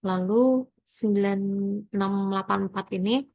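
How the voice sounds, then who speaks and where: A young woman talks through a worked tutorial in a medium-pitched voice. She is halting and hesitant, thinking aloud between figures. The recording is dry and slightly muffled, with little room sound. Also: no room sound. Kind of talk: informal accounting tutorial walkthrough.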